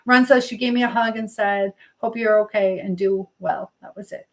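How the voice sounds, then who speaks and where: A woman's voice: medium pitch, steady tone, moderate pace. A woman hosting a casual live stream, talking in a chatty, affectionate way with viewers and reading their comments aloud. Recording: clear background noise with clicking sounds.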